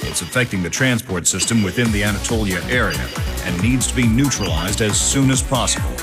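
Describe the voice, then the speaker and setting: An actor playing a composed, authoritative military commander giving a formal mission briefing in a video game. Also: male voice, fairly low pitch, brisk, lightly acted. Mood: composed, urgent. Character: composed male military squadron commander briefing fighter pilots. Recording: electronic music behind the voice.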